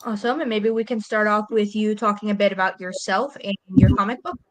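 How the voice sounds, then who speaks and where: Lady doing a casual interview, average pitch, no room echo, encouraging, keeps questions simple. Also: fairly even intonation, brisk. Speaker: interviewer, host.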